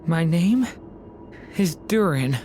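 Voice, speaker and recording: A woman voicing a weak, bewildered young boy in a dramatic game scene, speaking in a halting, pleading way. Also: lowish voice, expressive, dark tone, a little hiss or hum. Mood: lost, confused. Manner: halting, faint, pleading delivery.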